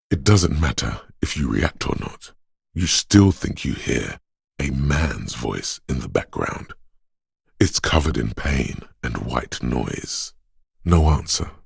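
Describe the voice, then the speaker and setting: An actor voicing a brooding inner voice of the senses for a game, narrating slowly and quietly in the second person and dwelling on every sensory detail. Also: male voice, a bass voice, gravelly, husky, dry recording, clean studio sound, exaggerated voice. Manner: slow, hushed, lingering on sensory detail. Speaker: voice of a game's inner perception skill.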